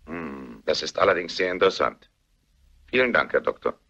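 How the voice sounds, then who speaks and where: A man acting in a German radio play as a methodical, authoritative police inspector with a measured, official manner. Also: extremely low pitch, expressive, sharp tone, breathy edge, muffled recording, full character voice. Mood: businesslike.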